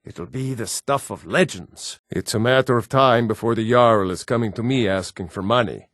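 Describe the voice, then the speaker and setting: An actor playing a proud, stern Nord man in a fantasy video game, with a measured, weighty delivery. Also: mid-range voice, fairly bright tone, dry recording, clean studio sound, lightly acted. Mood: smug, boastful.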